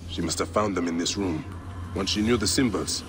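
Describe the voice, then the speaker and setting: An actor playing a grim, determined avenging warrior, brooding and stern, in a dramatic video game performance. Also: male voice, low voice, fairly quick, noisy background, natural voice. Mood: pondering, grave.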